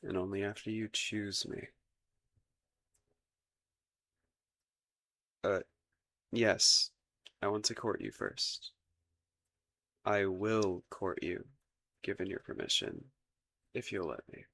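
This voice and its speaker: A man's voice: lowish voice, slow, pauses often, a bit bright. A man acting a shy, lovestruck young crown prince in a romantic roleplay audio, hesitant and stammering, with formal courtly phrasing. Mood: earnest, nervous.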